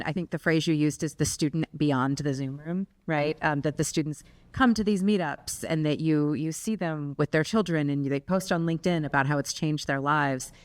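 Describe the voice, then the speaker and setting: Low-voiced woman moderating a talk. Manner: warm, appreciative questioning. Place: lecture room with audience.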